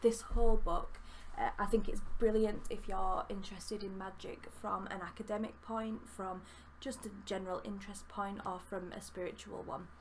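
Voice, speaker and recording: A woman's voice: medium pitch, level tone, moderate pace, hardly any pauses, a little mellow, a little hiss or hum, low-quality recording. Chatty young Yorkshire woman. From Yorkshire, England. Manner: chatty, enthusiastic, rambling with fillers. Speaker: book reviewer. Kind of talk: casual book review vlog. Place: small room with bookshelves, indoors.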